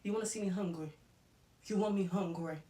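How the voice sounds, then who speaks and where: A young woman from Zimbabwe talking casually in a get-to-know-me vlog, chatty and expressive, dropping in Shona and Ndebele phrases. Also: medium pitch, brisk, roomy sound, distant mic. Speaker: vlogger.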